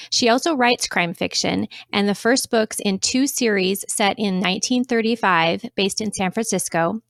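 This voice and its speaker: A woman speaks as a podcast co-host in a prepared presenting style. Her pitch is average for a woman, and the recording is close and dry, without room echo. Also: gravelly, a bit bright. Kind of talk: podcast hosting, prepared introduction.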